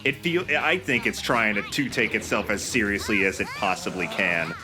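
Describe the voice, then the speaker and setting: A man doing casual video game commentary, phrasing his opinions in a halting, considered way. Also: on the low side, sing-song, over music. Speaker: let's play commentator.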